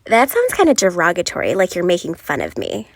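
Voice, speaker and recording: A woman playing a lovelorn, frustrated passenger venting confessionally in an animated series. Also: lowish voice, fairly quick, creaky, no echo, clean studio sound, exaggerated voice. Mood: questioning.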